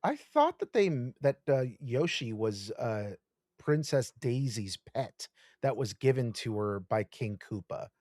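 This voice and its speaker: A man in his thirties co-hosts a casual podcast, easygoing and full of ums and uhs. His voice sits at an ordinary pitch. The recording is dry and close. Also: sing-song, a little slow, darkish tone, dry recording. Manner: laid-back with many fillers. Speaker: podcast co-host. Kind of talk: casual chat.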